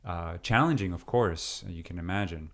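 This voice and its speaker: A man in his thirties, originally from Peru, talking through his life story as a guest in a relaxed interview, with many fillers and restarts. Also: deep voice, moderate pace. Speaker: deacon and seminarian, interview guest. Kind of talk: casual interview conversation.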